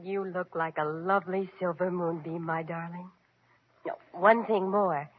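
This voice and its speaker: An actress playing a kindly, commanding fairy godmother, gently instructive and motherly, in an old-time radio drama. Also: fairly low pitch, steady tone, a little slow, close to an everyday voice. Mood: gentle, magical. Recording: dull, hissy old radio recording.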